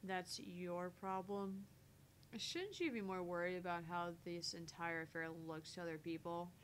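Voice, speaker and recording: A woman's voice: measured pace, lightly acted. A woman voicing a shy, easily embarrassed young woman in a visual novel, defensive and exasperated. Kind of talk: voice-acting visual novel dialogue and inner monologue. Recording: faint background rumble and noise.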